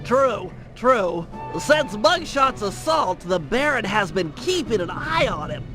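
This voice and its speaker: A man's voice: sits high, sing-song, slow pace, full character voice. A man voicing a nerdy, earnest young gadget genius who briefs in a precise, wordy way for a video game. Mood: serious. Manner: precise, formal, lecturing briefing delivery. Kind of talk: animated video game cutscene dialogue. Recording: loud music under the voice.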